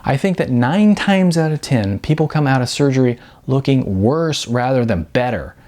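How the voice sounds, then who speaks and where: A man voicing a blunt, brisk female modeling agent in an audiobook, emphatic and patronizing, with chatty endearments. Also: mid-range voice, lively intonation, darkish tone, lightly acted. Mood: wry, candid. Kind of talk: audiobook character dialogue.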